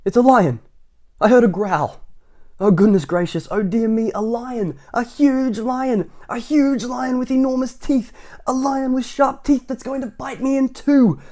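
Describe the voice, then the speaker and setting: A man's voice: very high voice, a little slow, warm tone, voice pushed up. Shrieking panicky little man. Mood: panicked. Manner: shrieking, melodramatic exclamations. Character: tiny timid male, panicky and easily frightened.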